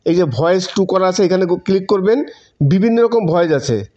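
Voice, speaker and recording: A man's voice: high voice, animated, normal speed, darkish tone. A Bengali man giving step-by-step tutorial narration in a repetitive, instructional manner. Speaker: tutorial presenter. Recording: dull, muffled lo-fi voice-over.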